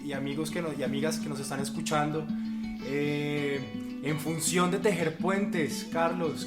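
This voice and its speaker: Echoey interviewer over loud guitar. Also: male voice, fairly high pitch. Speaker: podcast co-host. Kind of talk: conversational interviewing. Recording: roomy echo under loud music. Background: loud guitar music.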